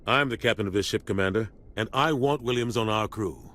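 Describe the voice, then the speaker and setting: A man playing a stern but supportive older starship captain in a video game, speaking with grave, measured authority. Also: on the low side, fairly bright tone, close to an everyday voice. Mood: firm. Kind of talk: video game dialogue acting.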